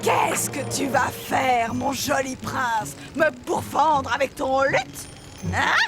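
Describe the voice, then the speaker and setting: A woman's voice: high-pitched, slow. A woman playing a boastful, evil old witch in a French cartoon, theatrical, menacing and mocking. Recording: music behind the voice.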